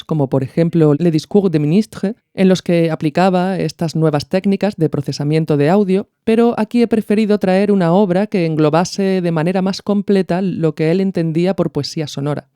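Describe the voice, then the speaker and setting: A man's voice: sits high, moderate pace, few pauses, warm tone, clean tone, dry recording, clean studio sound. A man from hosting a podcast, explaining in a didactic, narrated style. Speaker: podcast host. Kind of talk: explanatory narration of radio art.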